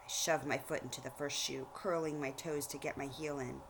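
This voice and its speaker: A woman reading a storybook aloud like a teacher, pausing to explain things directly to young listeners. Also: very deep voice, fairly even intonation, a little hiss or hum. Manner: explanatory, addresses children directly.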